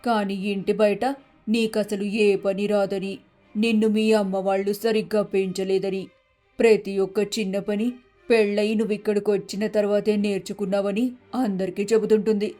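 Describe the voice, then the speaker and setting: A woman's voice: mid-range voice, little pitch movement, moderate pace, dark tone, close to an everyday voice. Woman acting as gossipy neighbour lady in a story, confiding and scheming, music faintly behind. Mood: insinuating.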